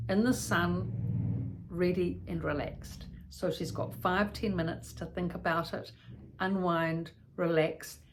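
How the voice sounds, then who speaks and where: A woman's voice: lowish voice, a little hiss or hum, low-quality recording. A woman giving an instructional talk as a care educator, explaining step by step with practical examples and repeated points.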